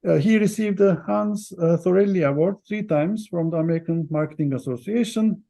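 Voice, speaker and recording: Man, interview host, mellow tone, creaky voice, somewhat high pitch, prepared questions and introductions. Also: fairly quick.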